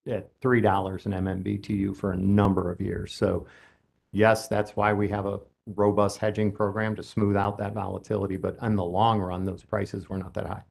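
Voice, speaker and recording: A man testifying as an expert witness at a regulatory hearing, explaining technical planning points in a steady, explanatory way. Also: fairly low pitch, normal speed, creaky. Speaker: utility company expert witness.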